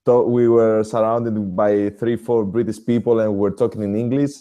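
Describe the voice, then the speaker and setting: A man with a Greek accent, a native Greek speaker, hosting a casual, animated conversation. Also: lowish voice, normal speed, muffled recording. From Greece. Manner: animated, swears casually, restarts sentences. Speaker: host, motivational speaker.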